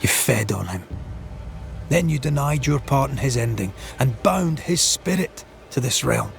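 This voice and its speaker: An actor playing a stern, solemn ghost-hunter in a video game cutscene, pronouncing judgment in a formal, deliberate way. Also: male voice, mid-range voice, animated, creaky, soft background music.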